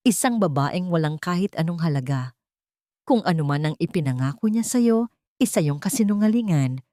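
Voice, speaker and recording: A woman's voice: fairly low pitch, lively intonation, normal speed, dark tone, no room sound, clean studio sound, lightly acted. A woman voicing a haughty, cruel rich mother-in-law in a story, with a Filipino accent and a sneering, menacing manner. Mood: mocking.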